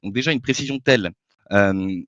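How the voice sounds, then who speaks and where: A man's voice: lowish voice, moderate pace, fairly bright tone, no room sound. A man giving a formal debate opening statement, laying out his points methodically but hesitating often with fillers. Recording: dull dry lo-fi voice chat mic.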